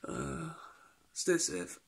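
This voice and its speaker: A tired, congested British man in his thirties chats into a phone held at arm's length in his living room. His voice sits a little high for a man, and he rambles with halting restarts and fillers. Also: huge pitch swings, vocal fry, slightly rough. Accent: British English. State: tired and stuffed up from a cold, sniffling. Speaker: vlogger. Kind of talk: casual daily vlog chat. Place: living room at home, indoors. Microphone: phone camera held at arm's length.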